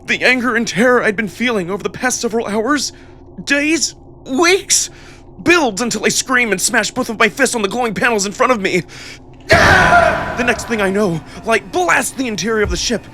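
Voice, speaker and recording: A man's voice: extremely high pitch, expressive, moderate pace, sharp tone, slight background noise. A man giving dramatic first-person scripted narration in a theatrical, emotionally intense manner. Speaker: narrator and performer of a visual novel.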